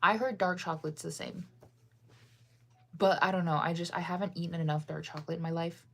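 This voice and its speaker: A young woman from the United States rambles through a casual livestream chat, answering viewers as she goes. Her voice sits a little low and she keeps circling back with fillers and restarts. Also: fairly even intonation, fairly quick, creaky. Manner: rambling, chatty, lots of fillers and self-corrections.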